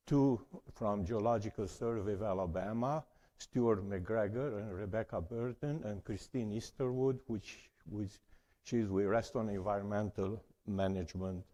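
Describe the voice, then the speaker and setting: A man's voice: lowish voice, dark tone. A man from Romania with a Romanian accent, giving a conference presentation in careful, slightly broken English. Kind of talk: academic conference talk.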